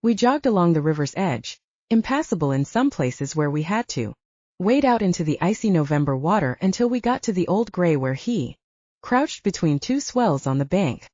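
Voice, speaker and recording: A woman's voice: on the low side, very animated, normal speed, dark tone, no echo, muffled recording. A woman reading a scripted audiobook story as its narrator.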